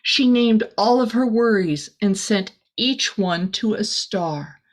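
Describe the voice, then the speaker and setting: A woman's voice: medium pitch, lively intonation, slow pace. A woman reading a children's picture book aloud expressively, adding small chatty asides for a young listener. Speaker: storybook reader.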